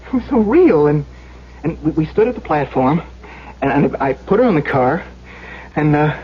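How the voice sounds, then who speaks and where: A man's voice: a little high, big pitch swings, husky. An actor playing a troubled, earnest man who stammers and repeats himself in a 1970s radio drama. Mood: haunted, shaken. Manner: halting, stammering, repeating words. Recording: dull, faintly hissy 1970s radio recording.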